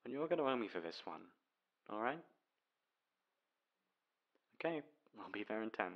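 A young man playing a caring, mildly exasperated boyfriend in an ASMR roleplay, coaxing and teasing with breathy sighs. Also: lowish voice, sing-song, moderate pace, dull audio. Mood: playful, reluctant.

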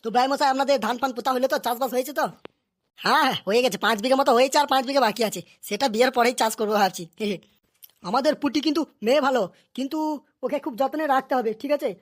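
An excitable, scheming village elder from a comedy cartoon played by a performer. The voice is high and rattles along at breakneck speed. The delivery is coarse, full of scolding and haggling. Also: racing. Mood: neighbourly.

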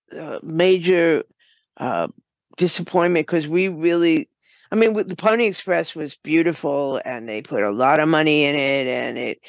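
An older woman answers in an interview at a very slow, halting pace. Her voice is on the low side for a woman. The recording is a bit dull and lo-fi. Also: no room sound. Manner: halting, deliberate. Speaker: guest, game writer and producer. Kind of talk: conversational interview. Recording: slightly dull, lo-fi sound.

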